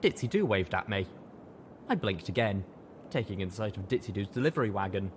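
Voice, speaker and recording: A man narrates a story from a script in a fairly low voice. His pitch moves around in a lively way, and there is faint noise in the background. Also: fairly quick. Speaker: audiobook reader.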